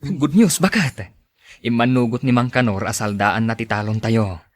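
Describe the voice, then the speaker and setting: A man's voice: medium pitch, moderate pace, dry recording, close to an everyday voice. A man playing an earnest, hard-pressed working husband and father in a podcast drama. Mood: hopeful.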